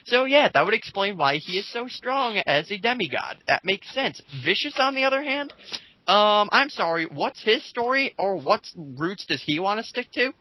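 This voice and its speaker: A man co-hosting a casual group commentary, reading comments aloud and haltingly restarting his sentences. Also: high voice, sing-song, sharp tone, dry recording, rough recording. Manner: halting, with repeated false starts and filler phrases.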